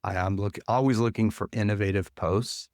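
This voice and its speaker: A man's voice: on the low side, steady tone, normal speed, darkish tone, no echo, clean studio sound. A man hosting a podcast, upbeat and friendly, often restarting his sentences as he introduces a guest. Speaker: podcast host. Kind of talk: casual interview chat.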